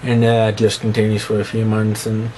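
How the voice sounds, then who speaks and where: A man's voice: monotone, a little fast, a little mellow, slight background noise, low-quality recording. A young man with a slight lisp, talking to camera in a rambling, self-mocking vlog. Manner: rambling, self-mocking, breaks into squeaky put-on voices. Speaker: vlogger. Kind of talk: rambling casual monologue to camera.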